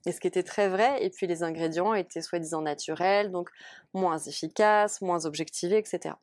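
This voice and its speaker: A woman in her forties, a company founder, explaining her work in a relaxed interview, with lots of fillers and restarts. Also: on the low side, brisk, dry recording, clean studio sound. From Paris. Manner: explains at length with many fillers. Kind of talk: casual interview talk.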